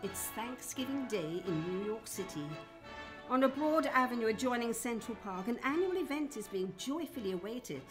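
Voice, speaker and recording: A woman's voice: on the high side, lively intonation, normal speed, over loud music. A woman announcing and narrating in a theatrical, formal old-time radio show style.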